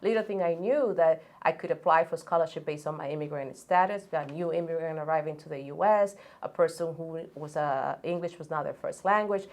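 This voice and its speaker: Woman with a low voice. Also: brisk, hardly any pauses. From Dominican Republic. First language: Spanish. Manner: earnest and steady, with frequent restarts and fillers. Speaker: guest, college coach and business founder. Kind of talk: conversational interview storytelling.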